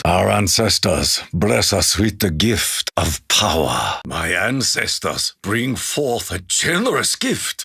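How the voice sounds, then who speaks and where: An actor playing a solemn, honor-bound Japanese warrior in a video game, with a Japanese accent and a grave, formal, measured delivery in a gravelly, husky voice. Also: male voice, fairly low pitch, sharp tone, no echo, clean studio sound, heavily acted. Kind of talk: scripted video game character quote lines.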